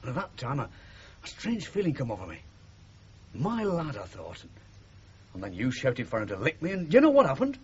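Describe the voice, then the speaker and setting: An actor playing a sentimental, wounded middle-aged working-class father with a Northern English accent in a radio drama, speaking haltingly and emotionally. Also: medium pitch, wildly swooping pitch, darkish tone, slightly rough, heavily acted. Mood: wistful, troubled. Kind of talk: 1970s radio drama acting. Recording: muffled, noisy 1970s radio broadcast.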